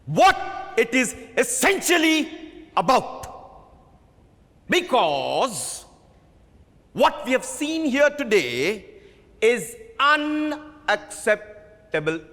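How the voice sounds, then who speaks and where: A man with an Indian accent playing a fiery, imperious theatre director in a declamatory stage reading, pausing dramatically and stressing key words. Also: very high voice, big pitch swings, bright, forceful tone, heavily acted. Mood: stern, outraged. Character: fiery, imperious male theatre director haranguing his actors. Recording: reverberant hall.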